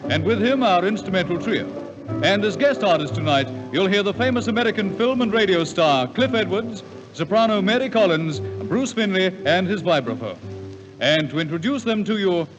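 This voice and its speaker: A man delivers a formal vintage radio introduction. His voice sits high, carried over loud music on an old broadcast recording. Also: animated, moderate pace, muffled recording. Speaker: radio announcer.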